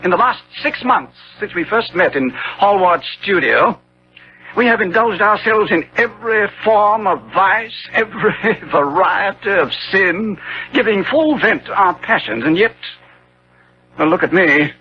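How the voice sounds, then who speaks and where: An actor playing a weary, jaded aging gentleman, excitable and indignant, with florid theatrical delivery in 1970s radio drama style. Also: sits high, a little slow. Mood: curious, uneasy. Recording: dull, hissy old radio broadcast.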